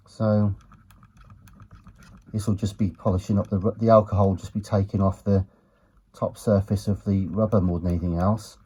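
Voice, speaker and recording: A man giving an unhurried, low-key, matter-of-fact commentary as he works on a gadget, muttering to himself at times. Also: warm tone, slightly rough. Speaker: retro electronics hobbyist presenter. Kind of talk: casual hands-on teardown commentary.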